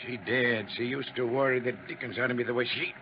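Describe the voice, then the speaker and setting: An actor playing an anxious, older small-town father in a 1950s radio drama, speaking in a fretful, halting, folksy way. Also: mid-range voice, steady tone, natural voice. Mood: worried, tense. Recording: muffled, noisy 1950s radio recording.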